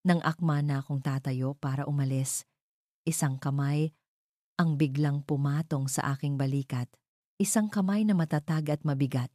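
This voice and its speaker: A woman with a low, soft-edged voice reads dramatic first-person fiction. She moves through the story in measured, suspenseful phrases, and the recording is close and dry. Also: no room sound. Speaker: story narrator.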